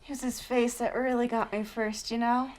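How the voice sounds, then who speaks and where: A woman's voice: on the high side, level tone, moderate pace, a little mellow, lightly acted. A woman playing a lovestruck, possessive and touchy female ghost in a comedy-drama web series. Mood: swooning.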